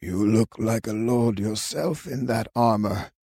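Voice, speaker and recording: A man reading an audiobook, voicing a dying elderly cobbler father with a cracked, weak voice and slow, halting speech. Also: lowish voice, warm tone, slightly rough, no echo, studio quality. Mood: tender. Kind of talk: audiobook character voice.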